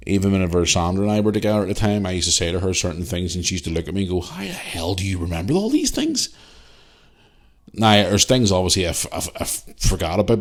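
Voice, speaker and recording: Northern Irish man in his forties, solo podcast host, very low voice, rambling chat with fillers. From Northern Ireland. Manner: rambling, chatty, with many fillers and restarts. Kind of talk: casual solo podcast, reading listener email.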